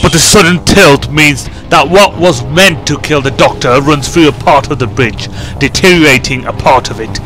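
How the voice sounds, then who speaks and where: A man's voice: on the high side, normal speed, rough recording. A man reading scripted narration for an amateur audio drama. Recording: music behind the voice.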